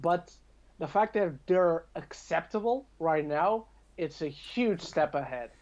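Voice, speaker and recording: A man's voice: a little high, expressive, takes it slowly, a little mellow, highs cut off. A man chatting casually as a guest on a podcast. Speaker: podcast guest, video reviewer. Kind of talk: casual chat.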